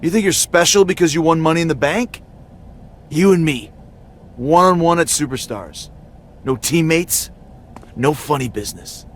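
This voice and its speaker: A man's voice: a little high, moderate pace, long pauses, a little hiss or hum, lightly acted. A man playing a curt rival wrestler issuing challenges in a video game. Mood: challenging. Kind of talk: scripted video game dialogue.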